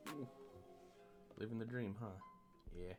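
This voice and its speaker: A man's voice: lowish voice, measured pace, music in the background. A man hosting a live stream, chatting casually from his bedroom and laughing and joking often. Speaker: stream host. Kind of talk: casual chat.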